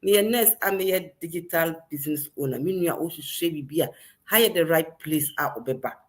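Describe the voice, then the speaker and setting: A woman from Ghana introducing herself with lively enthusiasm. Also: deep voice, moderate pace. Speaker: guest. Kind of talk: casual self-introduction.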